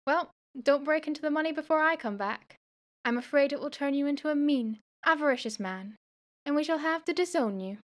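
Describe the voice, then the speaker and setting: Woman acting a high-voiced cheeky young daughter. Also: a little fast, natural voice. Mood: teasing. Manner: playful, mock-dramatic. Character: teasing, cheeky young adult daughter living at home. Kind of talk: amateur radio play acting.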